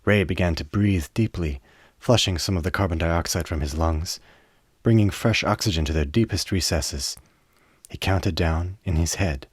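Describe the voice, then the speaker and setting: A man with a very low voice narrates a radio play. His storytelling is measured and scripted, recorded close in a dry space. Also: slightly rough, no room sound.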